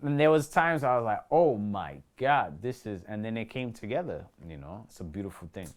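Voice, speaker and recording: A man's voice: lowish voice, animated, normal speed. A man with a New York accent chatting casually as an actor being interviewed, slangy and repetitive, often acting out remarks as he goes. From New York City. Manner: slangy, repeats himself, acts out quoted remarks.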